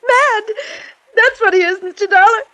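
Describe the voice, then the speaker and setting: A distraught lady of the house in an old radio drama. Her voice is high. She speaks with dramatic urgency and a commanding air. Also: normal speed, pushed and bright. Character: distraught well-to-do wife, commanding with servants. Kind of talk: 1950s radio drama acting.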